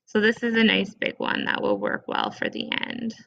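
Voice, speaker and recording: A woman presenting a casual step-by-step software tutorial in an informal, conversational way, recorded with dull, lo-fi sound. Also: on the high side, sing-song, moderate pace, vocal fry.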